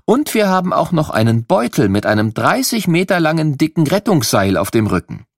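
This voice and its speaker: A man's voice: a little high, sing-song, a little fast, creaky, no echo, studio quality, voice pushed up, heavily acted. A man voicing a female firefighter who patiently and instructively explains her gear to children in an audio story.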